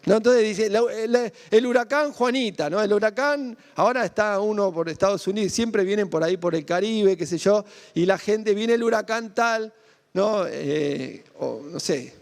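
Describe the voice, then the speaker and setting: High-voiced Argentine pastor, conversational. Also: male voice, normal speed, big-room sound. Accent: Argentine Spanish (Rioplatense). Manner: conversational, rhetorical questions, frequent "¿no?" tag. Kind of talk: sermon.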